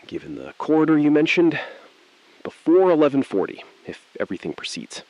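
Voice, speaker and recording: An actor playing a calm, evasive chief financial officer in an audio thriller, speaking in a measured, polite, guarded way. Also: male voice, on the high side, no echo, lo-fi sound. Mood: measured, composed.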